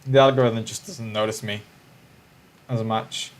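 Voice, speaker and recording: A man's voice: lowish voice, steady tone, a little slow. A man, chatting casually on a livestream in a musing, hesitant way with frequent restarts. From Israel.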